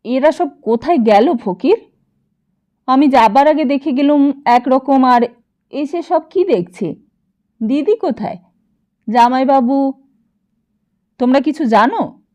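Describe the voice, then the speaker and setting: Woman reader as bossy flustered man, insistent questioning, bright high voice, slightly muffled audiobook recording. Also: expressive, slow, long pauses. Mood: bewildered, demanding. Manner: insistent, exclaiming, firing questions. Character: agitated, bossy brother-in-law, bewildered man demanding answers. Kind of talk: audiobook character dialogue.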